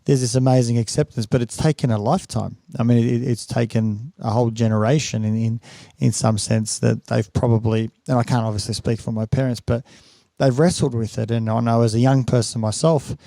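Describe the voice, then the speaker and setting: A man's voice: medium pitch, fairly even intonation, a little fast, gravelly, warm tone, no room sound, clean studio sound. A middle-aged man hosting a podcast interview, reflecting aloud with many restarts and repeated words. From Australia. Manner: frequent repetitions and restarts, musing. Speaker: podcast host.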